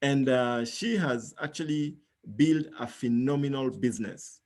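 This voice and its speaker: A man's voice: mid-range voice, measured pace, a little mellow. A man hosts an online business talk in a persuasive, motivational tone. He keeps checking for agreement as he speaks over a video call. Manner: persuasive, calls for agreement with "yeah?". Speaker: host.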